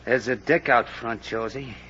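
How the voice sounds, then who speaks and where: A man's voice: mid-range voice, moderate pace, bright, forceful tone, natural voice. An actor playing a menacing, sardonic male racketeer in an old-time radio crime drama, talking in a tough, slangy sneer. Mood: quiet menace. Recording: noisy, dull old radio recording.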